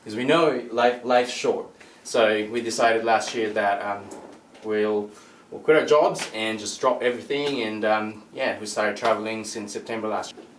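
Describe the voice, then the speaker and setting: A man answering interview questions in an earnest, halting way. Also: on the low side, steady tone, a little hiss or hum. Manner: halting, earnest, many restarts. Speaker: interview guest. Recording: echoey room from a distant mic.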